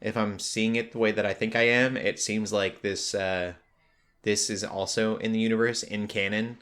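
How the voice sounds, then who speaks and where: A man hosts a relaxed, conversational podcast. His voice sits fairly low but has a sharp, bright edge. He talks like an enthusiastic fan making recommendations to listeners. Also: normal speed. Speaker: podcast host. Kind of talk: casual pop culture podcast chat.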